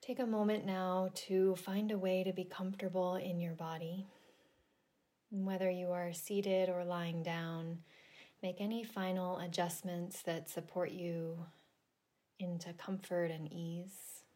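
A woman leading a guided relaxation meditation in a gentle, soothing, deliberate manner with long pauses. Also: on the low side, flat delivery. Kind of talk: guided yoga nidra meditation.

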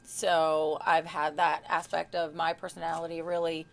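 A woman's voice: sits low, little pitch movement, normal speed, creaky. A woman guest on a podcast talking candidly about her private life, frank but hesitant, with many fillers. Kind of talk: candid personal chat.

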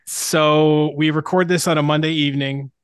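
A man's voice: a little high, fairly even intonation, normal speed, clean tone, dry recording. A man hosting a sports podcast in a casual, conversational way. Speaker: podcast host.

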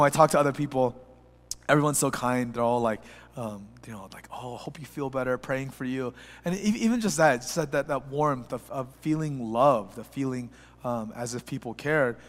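A man in his thirties, a pastor, preaches to his church while fighting off the flu, his voice a little rough. He rambles in a loose, personal way, full of fillers and restarts, then rises higher and louder as he drives his point home. His words carry a long reverb through the church. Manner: builds to a louder, higher pitch when preaching. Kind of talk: sermon. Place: church sanctuary.

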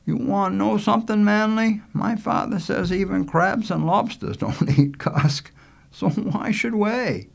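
A man's voice: high voice, unhurried, creaky, voice pushed up. A man voicing an eager, earnest island boy, polite and outspoken, with folksy rustic speech in a storybook reading. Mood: curious, chatty.

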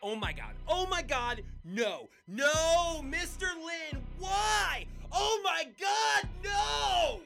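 A man's voice: very high-pitched, big pitch swings, extremely slow, creaky, few pauses, pushed and bright, shouting, full character voice. A man playing an anxious, stammering everyman caught up as a crime boss in an amateur audio drama, with music behind. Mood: panicked, despairing. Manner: flustered, stammering, exclamatory. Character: anxious everyman suddenly mistaken for a crime kingpin.

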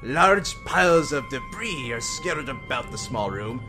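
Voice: medium pitch, animated, measured pace. An actor playing a pompous, menacing omniscient narrator in an audio comedy drama, portentous and melodramatic, and easily flustered. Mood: ominous. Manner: portentous, melodramatic, dragging out grim pronouncements. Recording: music behind the voice.

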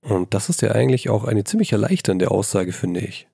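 A man hosting a solo writing podcast, slightly hoarse from a cold, talking in a chatty, self-deprecating way into a handheld mic in a small bedroom. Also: fairly low pitch, sing-song, a little fast, mellow, no room sound, clean studio sound. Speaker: podcast host. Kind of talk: casual solo podcast talk. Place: old childhood bedroom at his mother's house. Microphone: handheld microphone.

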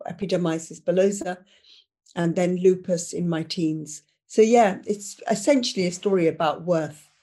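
Woman from uganda talking slow, dark mellow voice, lots of ums. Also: fairly low pitch. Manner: unhurried, hesitant with fillers. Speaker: guest, memoir author. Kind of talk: casual conversation.

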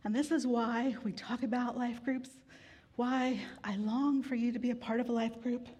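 High-voiced woman preaching in echoing hall. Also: steady tone, normal speed, husky. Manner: warm conversational preaching with gentle humor. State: grieving, tired. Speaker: pastor. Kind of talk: sermon. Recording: long hall reverb.

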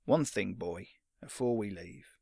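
Man voicing a rough, gruff old fighter. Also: lowish voice, animated, measured pace, darkish tone, no echo, clean studio sound, voice pushed down, exaggerated voice. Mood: stern. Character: gruff old retired fighter, scowling and menacing.

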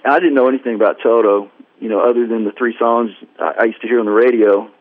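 A man's voice: mid-range voice, fairly even intonation, quick pace, no room sound. A man as the guest musician in an interview, telling a story in a rambling, self-deprecating way and acting out the dialogue. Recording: dry tinny phone line.

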